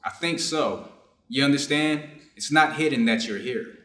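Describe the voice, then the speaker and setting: A man's voice: medium pitch, normal speed, fairly bright tone, natural voice. A man playing a reserved, conflicted Japanese doctor in a staged reader's theater drama, formal and guarded in his phrasing. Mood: resolved. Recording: roomy, echoing space.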